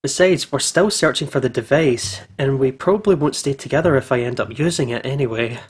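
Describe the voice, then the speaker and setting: A man doing let's play commentary. He reads a visual novel's narration aloud and chats casually, in an easily amused manner, with a voice of medium pitch. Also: brisk, breathy edge. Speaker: gaming video host.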